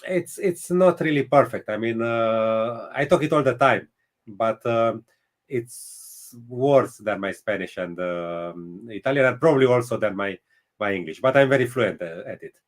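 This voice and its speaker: A man's voice: mid-range voice, moderate pace. Hesitant man speaking learned English. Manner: hesitant, many fillers and restarts; speaks English as a later language. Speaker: chess guest. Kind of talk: casual interview answers.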